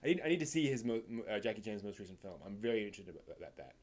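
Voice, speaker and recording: Rambling, halting man hosting a live stream. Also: on the low side, sing-song, darkish tone. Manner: rambling, halting, often restarts sentences. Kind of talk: casual live stream commentary on film and anime news.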